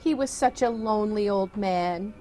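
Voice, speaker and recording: A woman's voice: mid-range voice, normal speed, mellow, soft background music, close to an everyday voice. A woman playing a dotty, kindly elderly aunt in a theatrical radio comedy, genteel, doting and placidly matter-of-fact. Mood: fondly reminiscing. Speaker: actor.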